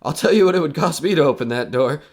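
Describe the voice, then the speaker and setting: A man's voice: fairly high pitch, expressive, quick pace. A man playing a nervous, skeptical broadcaster alone in a haunted house in an audio horror drama, rambling and joking anxiously. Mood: unravelling, giddy.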